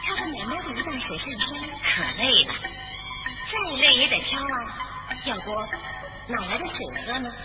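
A woman storyteller narrating a scripted children's bedtime tale in an expressive style. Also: sits high, moderate pace. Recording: dull roomy recording with music behind.

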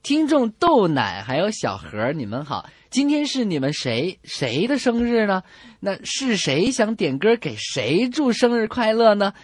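A woman's voice: medium pitch, sing-song, measured pace, husky, dry recording. A woman co-hosting a comedy radio show, telling jokes and reading out song dedications to listeners.

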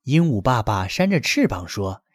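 A man reading a children's bedtime story aloud in a gentle, lively storytelling manner. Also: lowish voice, normal speed, no echo, clean studio sound.

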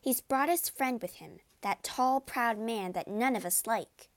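A woman voicing an excitable teenage girl who talks in a shrill, eager way, much higher than her own voice. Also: measured pace. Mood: excited.

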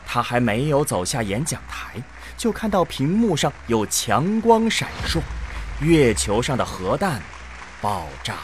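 Animated, unhurried male drama narrator. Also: mid-range voice, a little hiss or hum. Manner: dramatic storytelling. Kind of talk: scripted narration of a science fiction audio drama.

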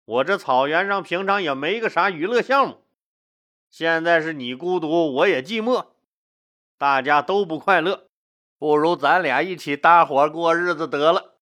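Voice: medium pitch, normal speed, fairly bright tone, close to an everyday voice. Casting: narrator doing a cheeky male steppe ruler, mock-pitiful, folksy suitor in a comic history tale. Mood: wheedling. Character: lonely, cheeky nomad chieftain writing a courting letter.

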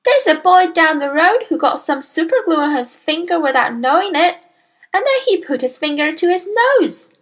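A woman's voice: high voice, lively intonation, moderate pace, pitched above the performer's own voice. A woman voicing a clever little girl in a storybook, cheekily polite and mock-innocent. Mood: innocent storytelling. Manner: mock-innocent, matter-of-fact.

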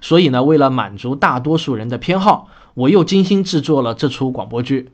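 A man with a mid-pitched voice hosts a podcast. He talks to his listeners in an orderly, explanatory manner, as if working through prepared remarks. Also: expressive, fairly quick. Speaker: podcast host and radio drama writer. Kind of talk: scripted spoken show commentary.

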